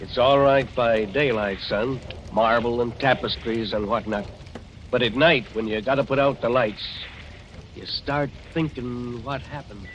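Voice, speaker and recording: An ominous, plainspoken old-timer played by an actor speaks in folksy, deliberate 1940s radio style. His voice sits at a middle pitch, on a muffled vintage recording with faint noise. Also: natural voice. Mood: grave warning. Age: older.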